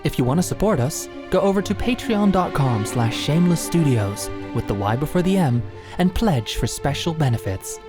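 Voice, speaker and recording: A woman's voice: low voice, lively intonation, moderate pace, music in the background. A woman, the creator of the show, reading a scripted outro with credits.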